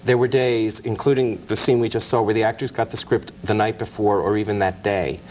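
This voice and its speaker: Knowledgeable male author, lowish voice. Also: steady tone, brisk, darkish tone, rough recording. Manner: knowledgeable, explains at length. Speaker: author and guest. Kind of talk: interview answers.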